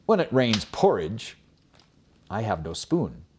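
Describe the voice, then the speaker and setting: Man playing a monkey, melancholy, rueful, hushed, formal, storybook fable, lowered voice, expressive swings. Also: slow, background noise. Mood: rueful, gloomy. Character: melancholy male monkey, sad clown of a fable.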